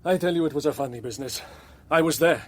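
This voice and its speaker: Actor as higher-voiced, suspicious ship's captain. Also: male voice, fast, close to an everyday voice. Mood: suspicious, indignant. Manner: blunt, wary, questioning in short phrases. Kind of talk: radio drama dialogue.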